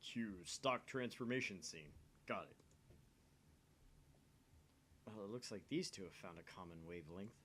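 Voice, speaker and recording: A man's voice: expressive, a little fast, fairly bright tone. A man co-hosting a game read-along, mixing narration with casual commentary. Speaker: co-host reading a visual novel aloud. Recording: faint noise with low rumble.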